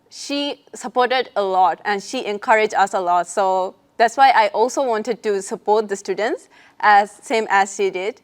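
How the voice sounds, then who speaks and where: Young woman interviewer, hesitant and halting. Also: medium pitch, smooth. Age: young adult. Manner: hesitant, with many fillers and restarts. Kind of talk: interview questions and own answers.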